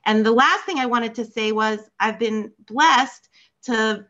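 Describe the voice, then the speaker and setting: Older Philadelphia woman, bright lo-fi call. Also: fairly high pitch, measured pace. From United States. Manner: rambling, warm storytelling with many fillers and restarts. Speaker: guest on a video call. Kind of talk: casual chat. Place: home room with bookshelves. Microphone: video call. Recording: lo-fi video call audio.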